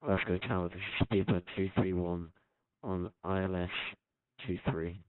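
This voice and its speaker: A man's voice: deep voice, steady tone, moderate pace, clean tone. A man speaking as a pilot, making short, clipped radio calls and readbacks to a control tower. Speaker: pilot in online flight simulation. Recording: dry, phone-like radio transmission.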